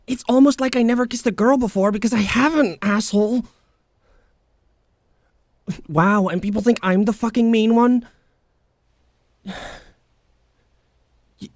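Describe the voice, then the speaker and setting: A woman playing a prickly, vulnerable, secretly tender young wolfgirl in an intimate ASMR roleplay, speaking haltingly and often dropping into whispers. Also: medium pitch, no room sound, clean studio sound. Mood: flustered, defensive. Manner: halting, guarded, softly emotional.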